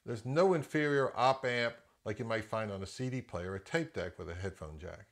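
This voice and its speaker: A middle-aged man with a fairly low voice talks through audio gear in a casual review. He is conversational and freely gives his opinions, as if chatting to viewers. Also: normal speed. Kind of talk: casual product review narration.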